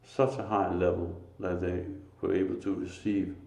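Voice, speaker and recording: A man with a Danish accent giving a slow, earnest, informal spiritual talk, pausing often. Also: sits low, level tone, vocal fry, darkish tone. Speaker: lay preacher. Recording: echoey room.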